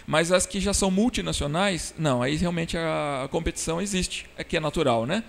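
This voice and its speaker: A man's voice: fairly high pitch, a little fast, creaky. A man from São answers conversationally as an interview guest. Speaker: guest, technology company entrepreneur. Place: glass studio inside a shopping mall. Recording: long reverb of a hall-like room.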